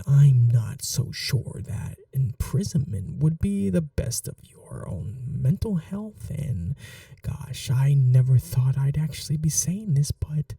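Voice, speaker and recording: Man playing a dark, creaky-voiced lovestruck kidnapper. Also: mid-range voice, big pitch swings, no room sound, distant mic, exaggerated voice. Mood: gentle, resigned. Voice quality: soft, breathy, dark. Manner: gentle, halting, coaxing reassurance. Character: lovestruck obsessive male kidnapper, doting and awkwardly tender. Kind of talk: intimate ASMR roleplay, often near whispering.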